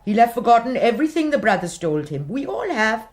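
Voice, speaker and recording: An actress playing a blunt, nostalgic housekeeper in a radio drama, grumbling and outspoken. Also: female voice, on the high side, very animated, fairly quick.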